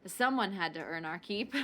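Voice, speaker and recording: Radio play: female switchboard operator played by a woman, 1960s, young mother, cheerful and folksy, very expressive delivery. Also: medium pitch. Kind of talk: period radio play acting.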